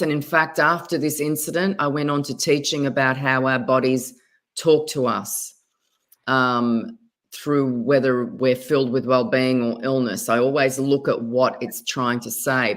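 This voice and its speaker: A woman with an Australian accent speaks as an interview guest, her voice sitting notably low. She tells her story in a hesitant way, often pausing and restarting her words. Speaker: guest, spiritual advisor.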